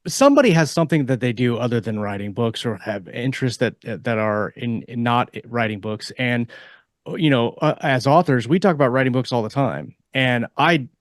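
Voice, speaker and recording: A man co-hosting a casual author podcast, giving advice in a halting way as he repeats and rephrases his points. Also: mid-range voice, animated, no room sound, clean studio sound.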